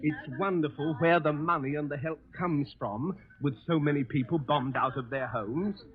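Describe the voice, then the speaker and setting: A man's voice: fairly high pitch, moderate pace. A man from London giving a scripted address in a 1940s radio broadcast style. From London, England. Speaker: shelter spokesman. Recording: tinny 1940 radio, crowd noise. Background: crowd in underground shelter.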